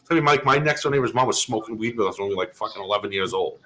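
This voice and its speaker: Profane, animated storytelling man. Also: fairly low pitch, very fast. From Massachusetts. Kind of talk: casual chat, storytelling.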